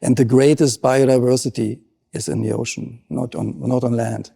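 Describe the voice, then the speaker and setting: A man's voice: mid-range voice, fairly even intonation, moderate pace, creaky, dark tone, breathy edge. A middle-aged man with a German accent, a marine scientist explaining his work in an interview with earnest enthusiasm.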